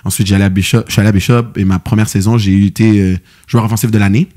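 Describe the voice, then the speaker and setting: Young man, Québécois French accent, fast-talking, low-pitched, podcast guest, slangy, lots of fillers. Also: level tone, dark tone. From Quebec, Canada. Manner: quick, loose storytelling with many fillers and slang. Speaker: guest, football player. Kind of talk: casual podcast chat.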